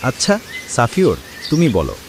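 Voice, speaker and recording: A man playing a kindly village imam in a cartoon, teaching children in a gentle, encouraging, didactic way over noisy ambience. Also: medium pitch, wildly swooping pitch, moderate pace, vocal fry. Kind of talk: scripted cartoon dialogue and Quran recitation.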